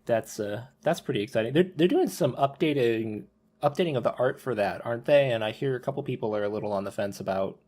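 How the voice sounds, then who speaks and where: A man with a medium-pitched voice hosts a podcast. He talks in a casual, conversational way, moving between topics as a host does. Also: fast talker, darkish tone. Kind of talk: casual podcast chat about visual novels.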